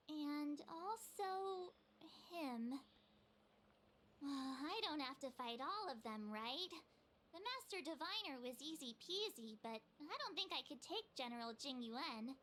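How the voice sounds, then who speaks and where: Naive teen adventurer girl, game role: very high, sharp and bright, slow hesitant delivery, cutesy. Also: slight background noise, full character voice. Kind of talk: scripted video game voice acting.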